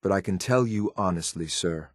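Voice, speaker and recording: Deep-voiced, clipped, loyal synth soldier. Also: male voice, normal speed, no room sound, studio quality. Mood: earnest. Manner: clipped, military, deferential yet blunt. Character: loyal, coldly disdainful synth soldier, dutiful right-hand man. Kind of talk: video game companion voice lines.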